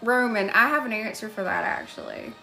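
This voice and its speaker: A woman's voice: on the high side, fairly quick, gravelly, bright, forceful tone, soft background music. A woman live streaming, chatting casually and thanking viewers by name as she goes.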